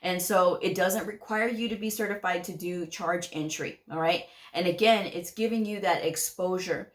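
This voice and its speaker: A woman's voice: on the low side, normal speed. A woman hosting her own channel who gives casual career advice to viewers, talking directly and firmly, often checking in with "okay?" and laughing lightly. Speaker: medical coding educator and channel host.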